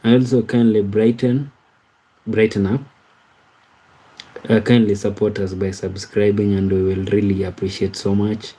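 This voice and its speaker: Slow, low-voiced Kenyan-born man streaming live. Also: flat delivery, dark tone, husky, poor audio. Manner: slow, halting, repeats words and restarts while reading comments aloud. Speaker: livestream host reading viewer comments. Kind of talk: casual live-stream chat.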